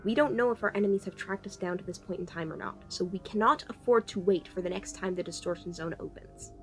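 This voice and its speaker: An exasperated female engineer played by a woman in a sci-fi audio drama. She explains technical matters briskly and with little patience, in a medium-pitched voice over soft music. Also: natural voice. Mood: grave, urgent. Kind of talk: cinematic audio roleplay acting.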